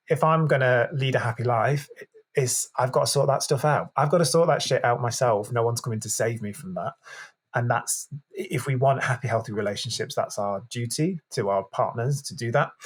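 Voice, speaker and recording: A man, a podcast guest speaking openly and reflectively, often restarting his sentences. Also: medium pitch. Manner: open, reflective, with frequent restarts and throat clearing. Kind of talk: candid conversational interview.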